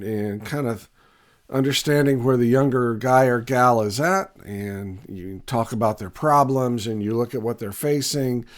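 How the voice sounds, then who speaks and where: A man with a raspy voice, still getting over a cold, teaches in a steady, earnest way. His pitch sits in an ordinary middle range, and he pauses often to think. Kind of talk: scripted teaching talk to camera.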